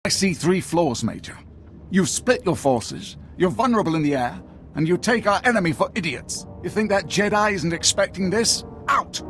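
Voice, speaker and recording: A man's voice: high-pitched, animated, moderate pace, a bit bright, faint music behind. A man playing a stern, exasperated Imperial military commander in a video game, clipped and scornful. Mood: scornful, angry. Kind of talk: dramatic video game acting.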